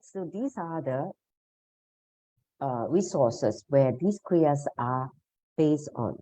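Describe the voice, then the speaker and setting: Low-voiced mellow woman leading a meditation session. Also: lively intonation, slow, no room sound. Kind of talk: online guided lesson, explanatory talk. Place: online video call session. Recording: dull, muffled online session audio.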